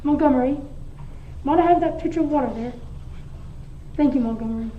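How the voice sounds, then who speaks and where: A teenage girl playing a formal, measured lawyer reading a will in an amateur school radio play. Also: sits high, natural voice. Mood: formal, composed. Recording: roomy, distant, dull, faint noise.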